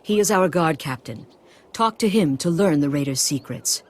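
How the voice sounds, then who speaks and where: An actor playing a humble, solemn village healer-priest in a video game, formal, courteous and ominous. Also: male voice, on the high side, lively intonation, moderate pace, slight background noise, lightly acted. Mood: solemn urgency. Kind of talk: video game dialogue acting.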